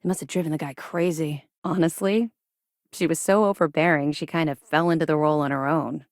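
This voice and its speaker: An actress playing a disciplined, guarded female officer in a space navy for a video game, with a crisp, formal briefing manner. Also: low voice, fairly even intonation, fairly quick, no echo, clean studio sound. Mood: wry. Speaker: video game character voice actor. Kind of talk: video game dialogue acting.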